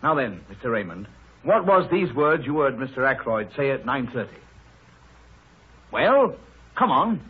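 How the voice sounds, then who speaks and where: An actor playing a gruff, officious police inspector, blunt and husky, in 1930s radio drama style. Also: male voice, medium pitch, sing-song, unhurried, long pauses, heavily acted. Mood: questioning. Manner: blunt, colloquial. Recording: thin, phone-like 1930s radio broadcast.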